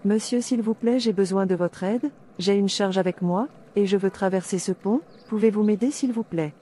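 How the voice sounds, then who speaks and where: Woman voicing a polite cartoon lady asking for a hand, dark mellow tone, noisy recording. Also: mid-range voice, moderate pace, lightly acted. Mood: pleading. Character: polite married woman pleading for help with a load. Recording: clear background noise.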